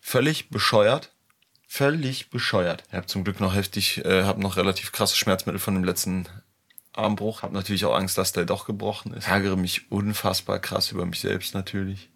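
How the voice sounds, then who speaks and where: A man recording a halting voice diary while in pain, sounding subdued and lonely. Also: deep voice, steady tone, creaky, fairly bright tone. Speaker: audio diary speaker. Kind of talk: confessional voice diary.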